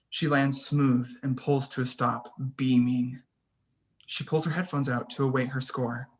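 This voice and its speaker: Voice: mid-range voice, a bit bright. A person reading scene headings and stage directions as scripted narration for a screenplay table read.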